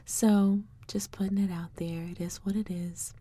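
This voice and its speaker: A woman in her thirties doing ASMR in a slow, soothing whisper, close to the mic. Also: medium pitch, flat delivery, mellow. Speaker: ASMR video creator. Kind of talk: ASMR whispering, gentle makeup roleplay.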